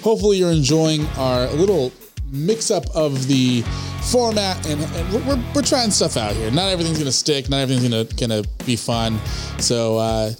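A man's voice: on the high side, animated, normal speed, music in the background. A young man co-hosting a podcast in casual banter, full of sarcastic ribbing. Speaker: podcast co-host.

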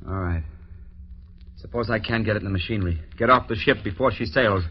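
A man acting a desperate, frightened saboteur in an old radio drama, halting and pleading. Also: fairly low pitch, a little hiss or hum, close to an everyday voice. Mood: reluctant, anxious. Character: frightened, desperate male saboteur, a turbine inspector. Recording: dull old radio recording.

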